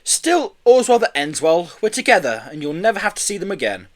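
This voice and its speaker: A man voicing a brisk, decisive, protective mother in a children's story. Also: sits high, very animated, pitched above the performer's own voice. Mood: reassuring. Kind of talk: children's story read aloud.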